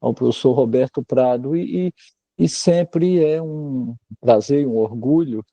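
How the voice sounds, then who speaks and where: Man from alagoas, neurologist lecturing over a video call, gravelly voice, deep dark tone, dry sound, courteous filler-laden delivery. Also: no echo, lo-fi sound. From Alagoas, Brazil. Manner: measured, filler-heavy, courteous. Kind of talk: online lecture.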